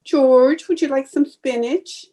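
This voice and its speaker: A woman's voice: sits high, takes it slowly, warm tone. A woman playing a sarcastic, theatrical housewife in an old-time radio sitcom, with exaggerated, wisecracking delivery. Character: sarcastic, theatrical young housewife with a quick temper.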